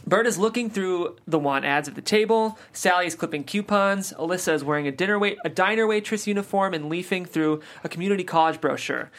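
Stage-direction reader: mid pitch, plain, even delivery. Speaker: narrator reading stage directions. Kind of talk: scripted narration.

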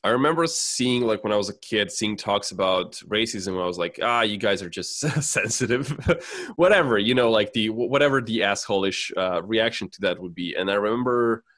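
A man from Serbia in casual conversation, talking in halting phrases with many fillers and restarts. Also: on the low side, lively intonation, fairly bright tone, no echo.

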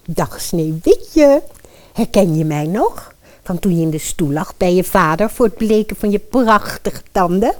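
Ingratiating lowish-voiced dental assistant, acted by a woman. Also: animated, unhurried, a little mellow. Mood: sweet, ingratiating. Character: smart, friendly dental assistant courting boss's daughter's favour. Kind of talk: Dutch audio fairy-tale drama acting.

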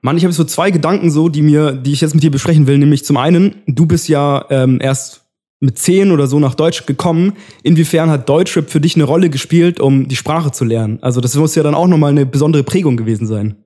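Higher-voiced, relaxed young man in casual chat. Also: fairly quick. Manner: slangy, informal.

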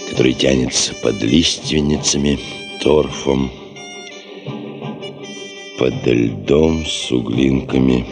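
An actor playing a shrewd, imperious geology chief in a radio drama, musing deliberately to himself and giving orders. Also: male voice, extremely low pitch, gravelly, heavily acted. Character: shrewd, commanding male geology chief brooding over gold. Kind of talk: radio drama, inner monologue and dialogue.

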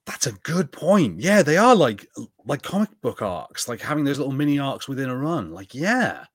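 A man's voice: medium pitch, big pitch swings, normal speed, breathy edge, dry recording, clean studio sound. A man co-hosting a podcast, chatting casually about TV and tentatively thinking aloud. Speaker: podcast co-host. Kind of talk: casual TV review chat.

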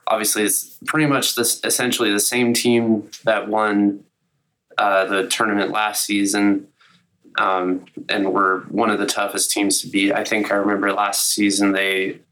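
Slightly raspy young man, flat voice. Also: on the low side, moderate pace, low-quality recording. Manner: conversational, many "uh" fillers. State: slightly raspy after illness. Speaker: co-host of a college sports podcast. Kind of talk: casual sports chat.

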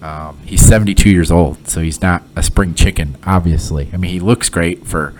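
A man hosting a casual solo podcast, sick with a sinus cold and sounding nasal, talking in a halting way with lots of fillers and restarts. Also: deep voice, fairly even intonation, darkish tone, slight background noise. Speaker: podcast host; former head coach.

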